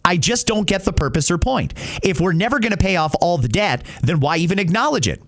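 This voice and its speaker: Animated opinionated male talk host. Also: high-pitched, a little fast. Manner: opinionated, conversational, emphatic. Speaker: radio talk show host. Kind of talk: reading and answering listener messages.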